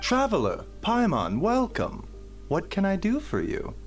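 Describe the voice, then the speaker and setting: A gentle, scholarly male doctor in a video game. The voice sits fairly high and swoops dramatically in pitch, with measured, articulate musing. Soft music runs behind. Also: wildly swooping pitch, full character voice. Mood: welcoming. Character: gentle, courteous, thoughtful male physician.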